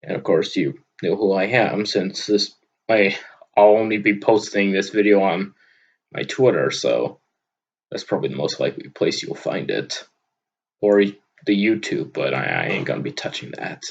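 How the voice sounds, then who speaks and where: A young man from Vermont with a loud, booming voice, talking off the cuff in an unscripted audio log, rambling with lots of filler words. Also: normal speed, gravelly, dull audio. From Vermont, USA. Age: young adult. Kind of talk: unscripted casual monologue. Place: at his desk, indoors. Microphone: computer microphone on a desk.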